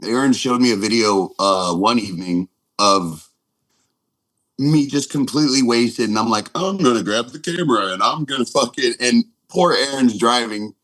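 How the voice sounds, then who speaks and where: A man in his forties telling stories casually as a podcast guest, rambling and halting, with plenty of swearing. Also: medium pitch, fairly bright tone, no echo, rough recording. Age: 40s. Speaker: podcast guest, punk band drummer.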